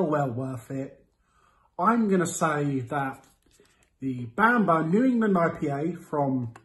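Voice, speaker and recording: A man in his thirties giving a casual product review to camera, with a playful, theatrical delivery and drawn-out words. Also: a little high, rough recording. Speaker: host of a beer review series. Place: small indoor room with window blinds.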